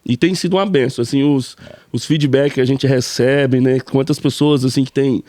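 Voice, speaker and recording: A man's voice: medium pitch, steady tone, normal speed, dark tone, no echo. A man, a pastor, co-hosting a casual church podcast and repeating friendly affirmations.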